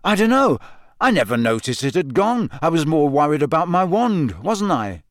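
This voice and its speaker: A man voicing an earnest, sometimes impatient teenage boy in a raised, youthful pitch. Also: very animated, moderate pace, no echo, clean studio sound. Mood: offhand.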